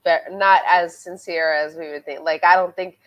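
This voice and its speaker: A woman's voice: lowish voice, steady tone, moderate pace, muffled recording. A woman co-hosting a casual sports podcast, sounding congested as she gets over an illness and chatting with lots of fillers. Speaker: podcast co-host. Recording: music playing loudly behind.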